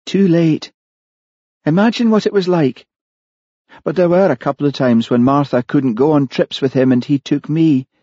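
A man's voice: fairly high pitch, normal speed, mellow, no echo, lo-fi sound, pitched above the performer's own voice, natural voice. A man voicing a woman who confesses to murder, unrepentant and matter-of-fact, in an audiobook. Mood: resigned, intense. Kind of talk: audiobook character voice.

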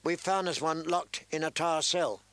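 A man's voice: a little high, normal speed, a bit bright, no echo, voice pushed up, close to an everyday voice. A man voicing a young soldier reporting a prisoner plainly. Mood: matter-of-fact.

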